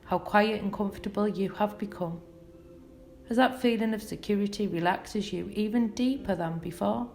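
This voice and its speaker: A woman hypnotherapist reading a scripted sleep hypnosis in a soothing, measured, lulling way with gentle pauses. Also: mid-range voice, gravelly. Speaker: clinical hypnotherapist leading a session. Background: relaxation music.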